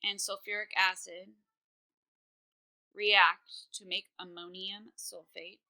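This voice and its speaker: A woman's voice: medium pitch, little pitch movement, slow pace, sharp tone, poor audio. Chatty woman teaching chemistry. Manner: casual, chatty, many fillers. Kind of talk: recorded online lesson.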